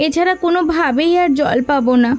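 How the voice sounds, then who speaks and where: A woman's voice: high voice, a little fast, soft background music, close to an everyday voice. A woman voicing a nagging, anxious village wife in a cartoon folk tale, insistent and dramatic.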